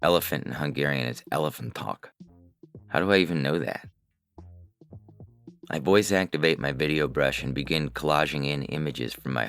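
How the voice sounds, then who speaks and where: A man's voice: extremely low pitch, gravelly, fairly bright tone. A man narrating a scripted first-person science fiction story as its reader. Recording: music bed under the voice. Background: music.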